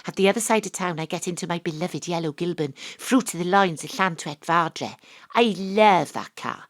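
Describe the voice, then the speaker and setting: Welsh female detective played by a woman, wry, blunt, lowish voice, first-person audio drama narration. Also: moderate pace, natural voice. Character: wry, brash, independent-minded female investigator narrating her case.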